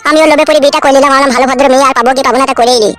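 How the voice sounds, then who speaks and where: A man's voice: extremely high pitch, fairly even intonation, rapid-fire, fairly bright tone, faint music behind. A man voicing a heartbroken, naive young nephew in a comedy cartoon, plaintive and crude, with a rural West Bengal accent. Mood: self-pitying.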